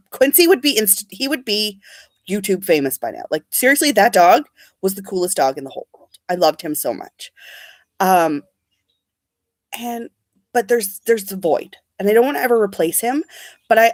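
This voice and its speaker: A woman's voice: mid-range voice, expressive, normal speed, no echo. Young adult woman, casual beauty vlogger, slightly sniffly, dead-dry room tone. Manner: chatty, rambling, reads and answers live comments. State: sniffly from allergies. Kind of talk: casual livestream chat.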